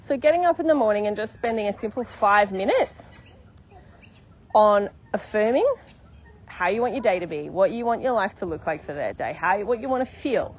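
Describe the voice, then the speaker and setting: A woman's voice: medium pitch, sing-song, moderate pace, slightly rough. Encouraging woman coach, slightly muffled recording. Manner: encouraging, repetitive, frequent "okay?" check-ins. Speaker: life coach. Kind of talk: motivational podcast monologue.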